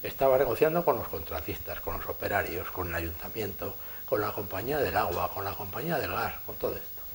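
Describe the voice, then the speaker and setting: A man with a Spanish accent from Spain giving a conference lecture, telling lively anecdotes and chuckling at his own stories. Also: sits low, a little fast, a little hiss or hum. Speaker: engineer giving a conference talk. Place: lecture hall.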